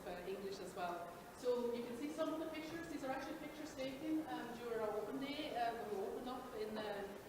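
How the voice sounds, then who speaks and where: Woman from belgium, upbeat and chatty, lots of ums, sounds far off in a big echoey hall with crowd noise. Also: a little high. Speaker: company presenter. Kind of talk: informal recruiting presentation. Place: large expo hall. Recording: echoey hall, distant mic, background noise.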